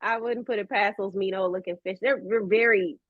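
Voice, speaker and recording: A woman chatting casually as an interview guest, telling stories in an animated, playful way and acting out quotes. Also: mid-range voice, fairly quick, dry recording, narrow, tinny audio.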